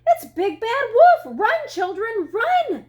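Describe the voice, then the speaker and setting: A woman's voice: very high-pitched, sing-song, slow, pitched above the performer's own voice, full character voice. A woman voicing a frightened, protective storybook mother hen, squawking in alarm. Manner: alarmed, exclaiming.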